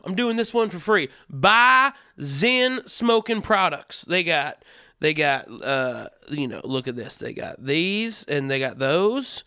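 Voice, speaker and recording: A man's voice: sits high, pushed and bright, clean tone, no room sound, clean studio sound. A man hosting a comedy show in a put-on Southern US accent, talking casually in a crude, profane, joking monologue.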